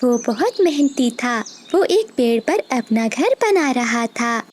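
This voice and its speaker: A woman's voice: high voice, very animated, slow pace, darkish tone. A woman reading a scripted children's story as the narrator.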